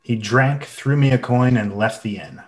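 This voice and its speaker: A man's voice: medium pitch, moderate pace, close to an everyday voice. An actor playing a grown man who narrates his boyhood adventure in earnest, literary storytelling. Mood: earnest, reflective. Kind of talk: scripted dramatic narration.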